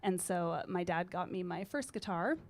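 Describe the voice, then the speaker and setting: A woman in her thirties talks easily into a studio mic, her voice sitting low with a gritty grain to it. She rambles comfortably, with lots of little ums and uhs, like a musician relaxed in conversation. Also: normal speed. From British Columbia, Canada. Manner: relaxed, rambling, with frequent fillers. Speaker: singer-songwriter guest. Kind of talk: casual interview chat. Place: college radio studio. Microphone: studio microphone.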